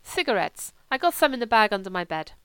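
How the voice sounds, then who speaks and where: A woman's voice: medium pitch, sing-song, fast, fairly bright tone, clean tone, no room sound. A woman voicing a sickly, demanding young German man with a German accent and a grumbling, self-pitying manner, in an audiobook. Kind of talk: audiobook character reading.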